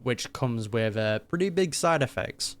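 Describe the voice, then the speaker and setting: Casual gameplay reactor: medium-low conversational register, informal thinking-aloud commentary, music underneath. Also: animated, unhurried. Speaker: streamer reacting to a game. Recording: game music behind the voice.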